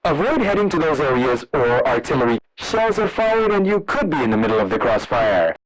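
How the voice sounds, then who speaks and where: A man's voice: medium pitch, very animated, a little fast, a crowd in the background, rough recording. A man delivering a scripted emergency broadcast as a formal alert announcer.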